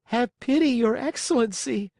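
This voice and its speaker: A man voicing a young wooden marionette boy in a piercing, high-pitched voice, reading a storybook aloud. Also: expressive, unhurried, dry recording. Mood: pleading.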